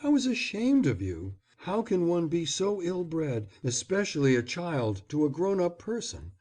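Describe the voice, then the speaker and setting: A man's voice: on the high side, very animated, moderate pace, mellow, voice pushed up. A man reading aloud, voicing an anxious, scolding upper-class mother. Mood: pleading. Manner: pleading then stern and commanding.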